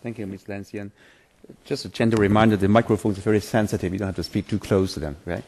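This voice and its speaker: A man's voice: lowish voice, fairly even intonation, fast talker, darkish tone. A man chairing a committee hearing, politely calling speakers and giving procedural reminders. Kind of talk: chairing a meeting. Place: legislative committee meeting room.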